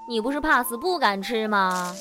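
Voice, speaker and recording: Lively, teasing schoolgirl, played by a woman. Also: on the high side, moderate pace, music in the background, natural voice. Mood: cheerful, teasing. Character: cheerful, easygoing schoolgirl, playfully teasing best friend. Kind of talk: modern audio drama acting.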